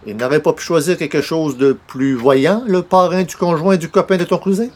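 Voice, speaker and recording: A man playing a wry, skeptical newspaper columnist in a radio comedy, deadpan, with a Quebec French accent. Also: fairly high pitch, moderate pace, a little hiss or hum, natural voice. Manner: dry, deadpan. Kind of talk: old-time radio comedy acting.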